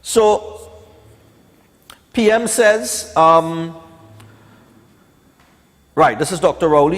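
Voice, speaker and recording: A man in his forties with a Trinidadian accent, hosting a live morning show in a conversational, opinionated way. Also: fairly high pitch. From Trinidad and Tobago. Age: 40s. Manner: conversational, opinionated, peppered with "eh" and "you know". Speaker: morning television show host. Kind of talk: casual live talk and newspaper review. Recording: long hall-like reverb on the voice.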